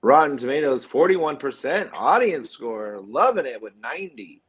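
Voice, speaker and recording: A man with a medium-pitched voice hosts a relaxed film discussion. He reads out facts and figures and puts questions to his fellow speakers in an informal way. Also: moderate pace, husky. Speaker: podcast host. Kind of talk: casual film talk, reading out facts.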